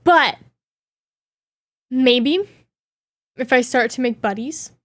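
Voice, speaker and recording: A woman's voice: sits high, slow pace, no echo. A woman streamer chatting casually, reading viewer questions aloud and reacting playfully with frequent giggles. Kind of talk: casual live Q&A chat.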